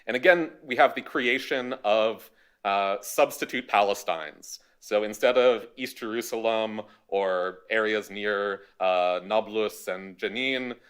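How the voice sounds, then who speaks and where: A man speaking as a history panelist at a university event, giving a measured academic talk with a dry, wry touch. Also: fairly low pitch, fairly bright tone, clean tone.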